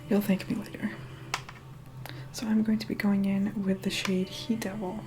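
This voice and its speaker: A woman's voice: mid-range voice, level tone, normal speed, noisy background, natural voice. A woman playing a snobbish, reluctant young vampire in an ASMR roleplay, whispering in a sarcastic, bossy, condescending way. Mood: snide, grudging.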